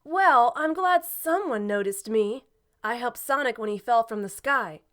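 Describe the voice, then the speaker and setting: A woman's voice: on the high side, animated, measured pace. A woman acting a vain, sassy, self-serving bat treasure hunter in a fan audio drama, with a haughty manner.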